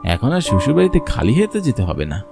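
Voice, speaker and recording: A man's voice: medium pitch, wildly swooping pitch, a little fast, gravelly, music in the background. A man narrating a scripted horror story in the first person, as a storyteller.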